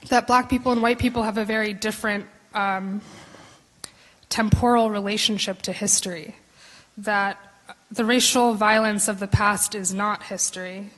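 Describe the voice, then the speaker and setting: A young woman at a podium in a big concert hall talks through her ideas, hesitant and full of ums. Her pitch stays flat and level. Long hall reverb hangs behind her voice. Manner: tentative, many ums, searching phrasing. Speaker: panel discussant. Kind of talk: thoughtful talk from notes at a podium. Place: large concert hall stage. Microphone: podium microphone.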